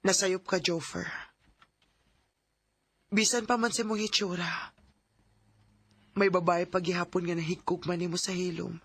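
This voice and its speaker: A man's voice: high-pitched, little pitch movement, measured pace, gravelly, long pauses, a bit bright, husky, no room sound, heavily acted. A man playing a tender, earnest young woman in love in a sentimental radio drama, with a Bisaya Filipino accent. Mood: concerned, pleading. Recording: music under the voice.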